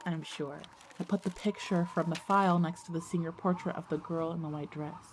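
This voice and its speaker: A woman's voice: on the low side, steady tone, fairly quick, slight background noise, lightly acted. A woman playing an earnest teenage schoolgirl who narrates her own ghost story in an audio drama. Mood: sincere, hopeful. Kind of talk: scripted first-person narration and audio drama dialogue.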